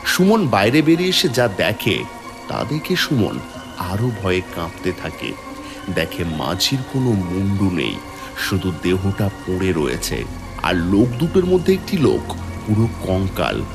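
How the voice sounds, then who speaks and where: A man tells a scripted horror tale dramatically. His voice is on the low side and highly expressive in pitch. Music plays behind the narration. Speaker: storyteller, narrator.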